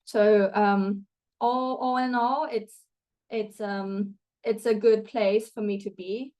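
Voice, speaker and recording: A woman's voice: medium pitch, fairly even intonation, takes it slowly, darkish tone, rough recording. Chatty young woman student from Thailand. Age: young adult. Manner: chatty, many fillers and restarts, laughs often. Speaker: student guest. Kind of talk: conversational interview answers.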